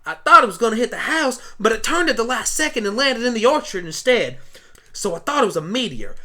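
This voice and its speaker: A woman's voice: fairly high pitch, big pitch swings, a little fast, bright, forceful tone, full character voice. A woman voicing a plucky, friendly young farm pony girl with a folksy, earnest and feisty manner, in an amateur radio play. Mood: excited, earnest.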